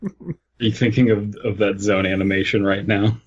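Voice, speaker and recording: A man with a husky, lowish voice in casual podcast chat. The sound is dull and slightly lo-fi. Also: fairly even intonation, normal speed. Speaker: podcast co-host.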